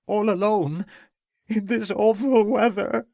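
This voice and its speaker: A man's voice: medium pitch, fairly quick, darkish tone, husky, dry recording, clean studio sound, lightly acted. A man putting on a fretful tearful female cook. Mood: distressed. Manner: anxious, quavering, stammering pleas.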